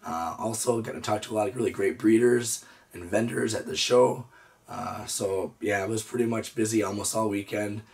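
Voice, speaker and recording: Hoarse man, 30s, relaxed reptile-channel host, lowish voice, mic at a distance. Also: moderate pace. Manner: easygoing, lots of "uh" and "so". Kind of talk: casual show-and-tell vlog. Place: indoor reptile room with storage drawers.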